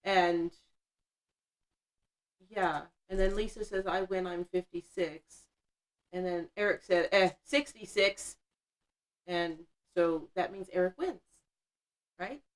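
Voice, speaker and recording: A woman's voice: fairly low pitch, slow, long pauses, low-quality recording. A woman in her fifties hosting a casual livestream, chatty and blunt, laughing often as she reads the chat. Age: 50s. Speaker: livestream host.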